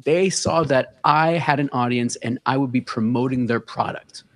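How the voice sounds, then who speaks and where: A man. He talks in a loose, easygoing way as a podcast guest and pauses on plenty of fillers as he tells his story. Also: medium pitch. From the Bronx, New York. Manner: relaxed, storytelling with many filler words. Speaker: guest, content creator. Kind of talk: casual podcast interview.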